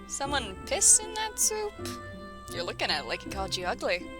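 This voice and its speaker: A woman's voice: a little high, wildly swooping pitch, moderate pace, over music. Brash, teasing woman sergeant played by a woman. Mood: teasing, blunt. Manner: blunt, irreverent, casual banter. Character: Edith, brash, teasing female spear-wielding sergeant. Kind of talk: fantasy audio drama acting.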